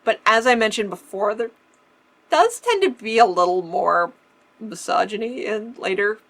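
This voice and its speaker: A woman's voice: mid-range voice, expressive, normal speed, fairly bright tone. A woman from Canada with a slight Canadian accent and a faint leftover lisp, chatting through a casual question-and-answer video with frequent laughs. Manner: chatty, laughs often, restarts words. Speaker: vintage-style vlogger. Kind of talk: casual Q&A chat.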